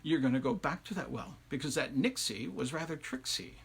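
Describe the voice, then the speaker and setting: A man's voice: mid-range voice, gravelly, husky, voice pushed down. Man playing a folksy, knowing village wise woman. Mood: knowing, instructive. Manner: folksy, deliberate advising.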